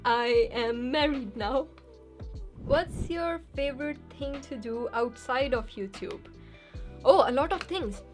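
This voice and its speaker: A young woman answering viewer questions in a casual vlog, chatty and quick to laugh. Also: high-pitched, over music. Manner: chatty, laughs easily, reads questions aloud then answers.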